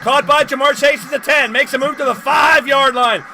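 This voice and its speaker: A man hosting a live sports stream, calling plays and chatting casually with viewers, getting excitable on big plays. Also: extremely high pitch, normal speed, slight background noise. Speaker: livestream host and play-by-play commentator.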